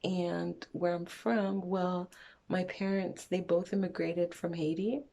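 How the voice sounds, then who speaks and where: A woman's voice: lowish voice, flat delivery, slow, creaky, dark tone. A young woman from Montreal chatting frankly in a get-to-know-me vlog, wandering off on tangents. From Montreal, Canada. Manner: rambling, frank, goes off on tangents. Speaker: vlogger. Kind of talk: casual get-to-know-me chat.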